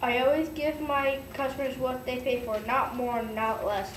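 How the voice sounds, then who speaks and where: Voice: high voice, moderate pace, distant mic, lightly acted. Child playing a proud baker, echoey room. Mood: firm. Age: child, about eight or nine. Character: proud, stubborn male baker. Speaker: reader in a school readers' theater. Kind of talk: recited school play dialogue. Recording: echoey, noisy room from afar.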